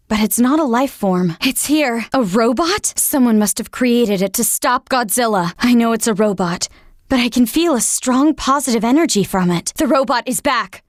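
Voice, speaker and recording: An actress as an anxious high-voiced military operator woman. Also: no echo, studio quality, close to an everyday voice. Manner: clipped, dramatic reporting. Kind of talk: video game voice acting, urgent radio-briefing dialogue.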